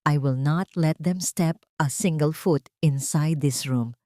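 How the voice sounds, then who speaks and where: Female narrator as angry billionaire man, low pitch, protective, commanding, dry close sound, audio drama. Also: takes it slowly, mellow, dry recording. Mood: angry, firm. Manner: firm, commanding threat.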